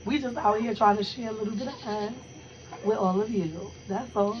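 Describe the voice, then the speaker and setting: A hoarse woman co-hosting a podcast, chatting casually and joking with her sister in a laid-back, slangy way. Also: mid-range voice, normal speed, highs cut off, noisy background. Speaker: podcast co-host. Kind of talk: casual chat between sisters.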